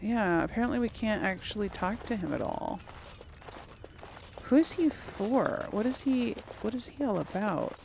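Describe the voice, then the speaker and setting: A woman's voice: medium pitch, lively intonation, normal speed, gravelly, darkish tone, a little hiss or hum. A woman giving casual game commentary as a let's play host, musing aloud to herself.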